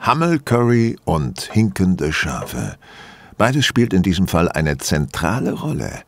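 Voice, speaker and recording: An actor playing a shrewd, courteous, self-assured detective in a German audio drama, speaking theatrically and deliberately. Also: male voice, low-pitched, wildly swooping pitch, gravelly, no room sound, full character voice. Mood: knowing.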